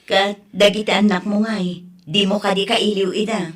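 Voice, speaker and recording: A woman's voice: medium pitch, level tone, moderate pace, natural voice. A woman with an Ilocano accent acting a concerned family member in an audio drama. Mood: gently pleading.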